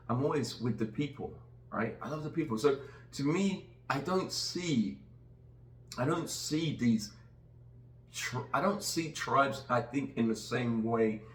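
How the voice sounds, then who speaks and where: A middle-aged man from Nigeria hosting a casual podcast conversation, talking earnestly and rambling with many restarts. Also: medium pitch. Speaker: podcast host. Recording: roomy sound, distant from the mic.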